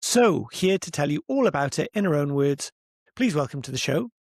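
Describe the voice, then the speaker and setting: A man hosting an interview show, with a voice pitched a little above most men's. He reads his introduction in a measured way and then asks his questions plainly. The sound is dry and close. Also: sing-song, a little mellow, no echo. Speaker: podcast host.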